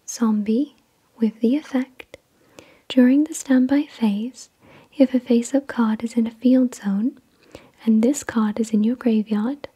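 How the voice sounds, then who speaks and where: A woman whispers in a very breathy, airy voice with almost no tone in it. She takes her time, placing each phrase slowly and carefully. The delivery is soothing ASMR, soft and mellow, like someone reading aloud to help a listener drift off. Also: on the high side. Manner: slow, careful, soothing enunciation. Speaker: ASMR video host. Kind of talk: soft-spoken ASMR card unboxing, reading descriptions.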